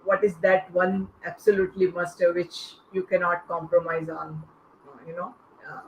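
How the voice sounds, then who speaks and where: A host moderating an online panel discussion without a script, in halting, stop-start phrases. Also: medium pitch, level tone. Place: online video call. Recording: muffled, echoey online call with noise.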